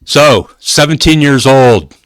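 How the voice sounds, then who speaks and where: A man hosting a podcast, speaking in an informal, halting, conversational way as he interviews a guest. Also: medium pitch, fairly bright tone, no echo, clean studio sound. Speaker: podcast host.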